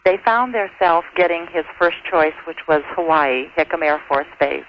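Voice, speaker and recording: A woman's voice: low-pitched, expressive, moderate pace. Middle-aged American woman on a muffled phone line. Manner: chatty, good-humored, hesitant. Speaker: radio call-in show caller. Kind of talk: casual phone conversation. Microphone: telephone. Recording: dull, faintly noisy telephone line.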